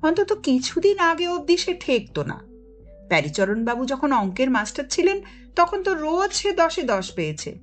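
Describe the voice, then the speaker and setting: A woman's voice: high-pitched, very animated, moderate pace. A woman narrating a children's story expressively, as an audiobook reader. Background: music.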